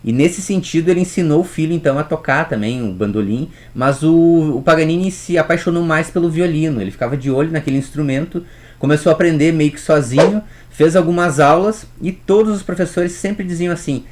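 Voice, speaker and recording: A man's voice: on the high side, a little fast, darkish tone. A man, teaching music history in a casual storytelling way and talking straight to the viewer. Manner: chatty, addresses viewer directly with rhetorical questions.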